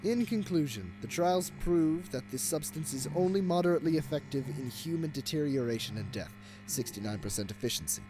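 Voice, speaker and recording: A man's voice: a little high, expressive, a little fast, few pauses, lightly acted. A man playing a cold, gloating villain scientist in an audio drama, speaking in a clinical and haughty way. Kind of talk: fan audio drama acting. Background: loud dramatic music.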